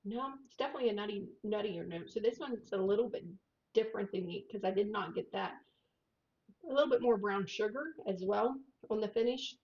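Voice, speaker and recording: A woman talks quietly and haltingly about the whiskeys she tastes, trailing off and starting over. Her voice sits at an ordinary pitch. It comes through a dull, lo-fi microphone hanging above her. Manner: soft-spoken, hesitant, many fillers. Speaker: co-host of a whiskey tasting livestream. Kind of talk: casual tasting chat. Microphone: overhead microphone above the table. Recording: dull lo-fi overhead mic.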